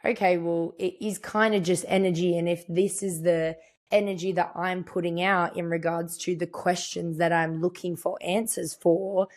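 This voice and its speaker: Woman, young adult, croaky voice, slightly low pitch, chatty, reflective, podcast host. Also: little pitch movement, normal speed, darkish tone. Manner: chatty, reflective, quotes her own thoughts. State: croaky, partly lost voice. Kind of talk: casual storytelling monologue.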